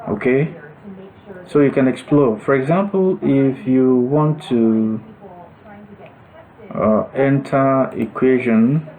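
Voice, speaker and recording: Slow, mellow male tutorial instructor. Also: mid-range voice, poor audio. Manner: halting, thinking aloud as he goes. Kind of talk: unscripted step-by-step screen demonstration.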